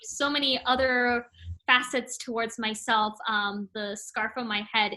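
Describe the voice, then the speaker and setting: A woman's voice: a little high, flat delivery, measured pace, bright, forceful tone, slight background noise, rough recording. A woman from Malaysia in her late twenties, answering interview questions about her art in a thoughtful, casual way, with many filler ums. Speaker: interviewed artist.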